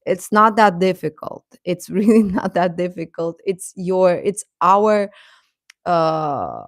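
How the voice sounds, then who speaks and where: A woman hosting a casual, opinionated live chat, speaking haltingly and defensively with repeated words. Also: on the low side, big pitch swings, no room sound, clean studio sound. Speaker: livestream host and commentator.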